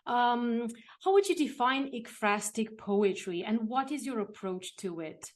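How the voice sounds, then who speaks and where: A woman's voice: fairly high pitch, lively intonation, a little slow. Hesitant Romanian woman interviewing. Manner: hesitant, with frequent fillers and repeated words. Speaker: podcast interviewer. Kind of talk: interview questions and responses.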